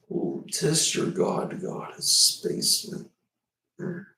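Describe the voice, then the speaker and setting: A man's voice: sharp tone, distant mic. A man in his twenties chatting casually on a livestream, rambling and meandering with self-deprecating remarks. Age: 20s. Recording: echoey room, away from the mic.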